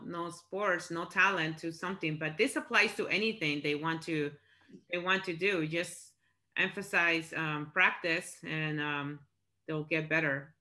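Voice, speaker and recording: Woman host, teacher, very bright sharp tone, encouraging, fillers, video call. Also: low-pitched. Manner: encouraging, thinks aloud with fillers. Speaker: teacher hosting the session. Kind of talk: moderating a student Q&A.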